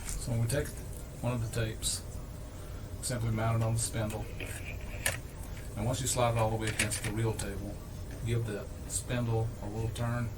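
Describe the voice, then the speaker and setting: A man casually walking through how to use a piece of home audio equipment, unhurried, with lots of fillers and restarts. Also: on the low side, little pitch movement. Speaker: equipment demonstrator. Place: home room with audio gear. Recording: distant mic with audible background noise.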